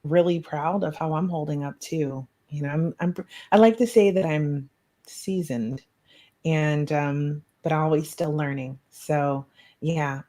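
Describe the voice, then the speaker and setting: A woman co-hosting a talk podcast, effusive and chatty with frequent ums. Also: low voice. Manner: warm, effusive, uses fillers like um. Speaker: podcast co-host. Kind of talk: casual chat.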